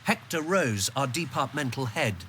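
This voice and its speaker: Bright-voiced, articulate storytelling civil servant. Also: male voice, mid-range voice, expressive, moderate pace, soft background music. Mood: reflective. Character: reflective male civil servant telling his story. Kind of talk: scripted first-person radio drama narration.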